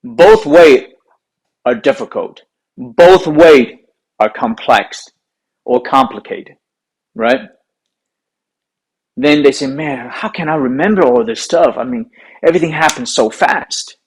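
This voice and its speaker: A man's voice: medium pitch, lively intonation, slow pace, long pauses, breathy edge, low-quality recording. A man with a Chinese accent, whose first language is Chinese, giving an earnest, emphatic golf lesson to camera. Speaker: golf coach. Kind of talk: instructional talk to camera.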